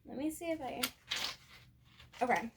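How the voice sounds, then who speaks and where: A young girl reading a picture book aloud expressively, with halting moments and chatty asides to her viewers. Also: high-pitched, noisy background. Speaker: storybook reader.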